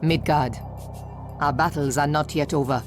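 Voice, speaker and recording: An actress playing a grave, composed warrior woman in a video game cutscene, solemn and deliberate. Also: sits low, fairly even intonation, faint music behind, close to an everyday voice.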